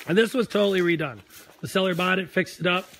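A hoarse man in his forties with a Minnesotan accent, a real estate agent giving a rambling, earnest pep talk on a live tour, filmed outdoors by a lake on a phone held at arm's length. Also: high voice, fast, fairly bright tone, a little hiss or hum. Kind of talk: live property tour vlog. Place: outdoors on a lakeside deck.

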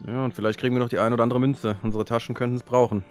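Mann in lockerer Spielrunde, Musik dahinter. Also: male voice, mid-range voice, level tone, very fast. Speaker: tabletop role-playing player. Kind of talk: casual game chat. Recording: online voice call, background music.

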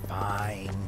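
An actor playing a cowardly, whiny, reluctant paranormal investigator in an audio drama. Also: male voice, low-pitched, bright, forceful tone, full character voice. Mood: uneasy. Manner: jumpy, reluctant.

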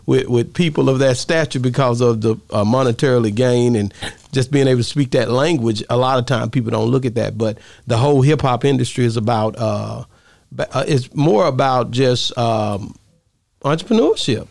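A man's voice: mid-range voice, normal speed, vocal fry, darkish tone, no room sound. A man in his thirties hosting a podcast, chatting casually in a laid-back, rambling way with many fillers. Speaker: podcast host. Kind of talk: casual interview chat. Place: podcast studio, indoors. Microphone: studio mic on arm, headphones.

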